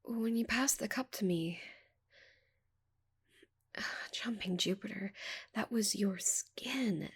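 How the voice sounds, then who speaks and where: A woman voicing a haughty, flustered giant female alien in an ASMR roleplay, breathy and whispery, with a prim, halting delivery. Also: mid-range voice, heavily acted. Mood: flustered, scandalized.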